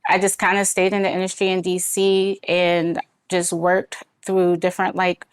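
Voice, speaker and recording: A woman's voice: fairly low pitch, completely flat delivery, moderate pace, clean tone, no room sound. A woman telling her story as an interview guest in an informal way, often saying "like" and "you know". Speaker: podcast guest, brewery founder.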